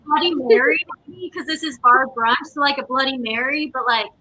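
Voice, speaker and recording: A woman with a high, bright voice chats as a guest on a show. Her manner is informal and enthusiastic, like easy talk among friends. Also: fairly quick, poor audio. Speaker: theatre company guest. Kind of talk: casual chat.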